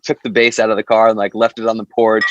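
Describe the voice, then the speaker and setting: A man's voice: lowish voice, completely flat delivery, fast talker, fairly bright tone, no room sound, dull audio. A man being interviewed as a band member, talking casually in an informal, loose storytelling way. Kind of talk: casual chat.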